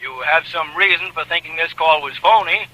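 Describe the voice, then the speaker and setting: A man in 1940s radio acting, playing a brisk, dismissive police desk sergeant who talks down to a caller. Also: a little high, sharp tone, poor audio.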